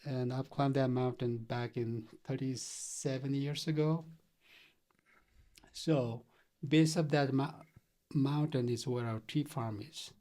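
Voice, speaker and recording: A man's voice: mid-range voice, fairly even intonation, takes it slowly, dark tone. A middle-aged man from Nepal, earnestly telling his story as a guest in a casual interview, chuckling often. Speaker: company founder guest.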